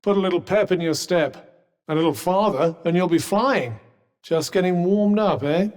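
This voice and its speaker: An actor voicing a snooty, sardonic talking magical cuff in a video game, with a refined British accent and a dry, pompous manner. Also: male voice, high-pitched, normal speed, a little mellow, lightly acted. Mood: mocking, goading. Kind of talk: game companion combat barks. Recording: long hall-like reverb.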